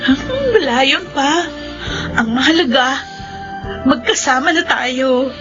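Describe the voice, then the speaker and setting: High-voiced earnest young woman. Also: slow pace. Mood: tender. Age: young adult. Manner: earnest, emotive delivery. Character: Jade, emotional young woman graduate, forgiving, determined. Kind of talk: radio drama acting and first-person narration. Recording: dull lo-fi radio sound, music underneath.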